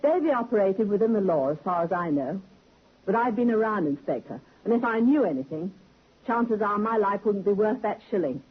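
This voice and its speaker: An actor playing a wary, evasive underworld informant in a 1950s radio drama, heard through a tinny old recording. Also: male voice, high voice, lively intonation, fairly quick, husky. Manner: evasive, streetwise, cagey.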